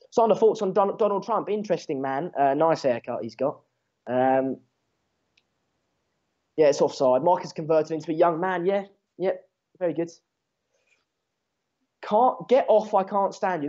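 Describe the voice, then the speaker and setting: A man's voice: fairly high pitch, a little mellow. English teen guy doing a football watchalong, dutch first language, matey n sarcastic, swears a bit, kinda muffled lo-fi audio. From England. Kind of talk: casual live match commentary and chat with viewers. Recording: dull lo-fi stream mic.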